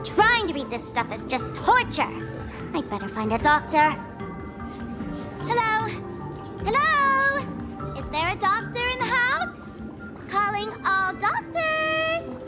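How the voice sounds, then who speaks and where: A playful, scheming young woman speaks high and theatrically, swooping teasingly through her lines at an unhurried pace. It is video game acting with music playing behind her. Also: big pitch swings, full character voice. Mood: playful, brisk. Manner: theatrical, teasing, sing-song. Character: playful, scheming young woman trickster who feigns innocence.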